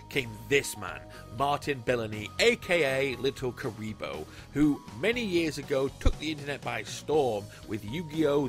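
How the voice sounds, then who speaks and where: A man's voice: mid-range voice, a bit bright. Chatty man listing cards over music. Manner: chatty and enthusiastic, lists card names. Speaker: YouTube host. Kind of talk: casual card-deck commentary.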